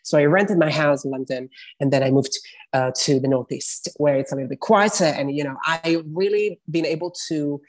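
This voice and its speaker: A man's voice: on the high side, normal speed. A man in his thirties from Italy, speaking English with an Italian accent as a podcast guest, talking in a reflective, chatty way. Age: 30s. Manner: reflective, chatty, with frequent fillers and restarts. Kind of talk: casual interview chat.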